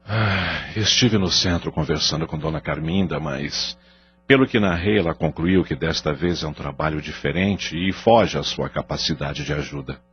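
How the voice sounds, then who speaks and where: A man's voice: rumbling low, normal speed, slightly rough, lo-fi sound, exaggerated voice. An actor with a Brazilian accent playing a contrite, earnest husband and father in an audio drama. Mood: earnest, defensive.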